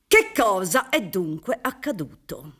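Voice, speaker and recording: A woman's voice: medium pitch, sing-song, a little slow. A middle-aged woman playing a theatrical, insinuating prosecutor in a radio drama, with a rhetorical, declamatory delivery. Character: prosecutor in a mock trial, theatrical, gleefully insinuating retired official. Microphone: studio microphone on stage, headphones. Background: music.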